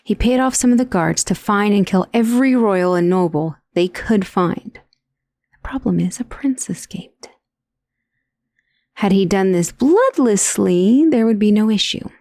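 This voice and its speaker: Casting: female performer as noble vampire mistress for ASMR roleplay, mellow with gravelly fry, soft, genteel and protective, close-miked. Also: mid-range voice, expressive, unhurried, dry recording. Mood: indignant, brooding. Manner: formal, refined, genteel wording. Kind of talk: ASMR roleplay, intimate dramatic monologue. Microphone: close, dry studio microphone.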